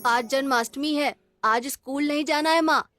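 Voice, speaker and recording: A woman's voice: high-pitched, moderate pace, fairly bright tone. A woman plays an innocent young village boy from a poor home in a cartoon tale. The voice is childlike and wistful, with music running underneath. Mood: sleepy complaint. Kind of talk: children's cartoon story voice acting.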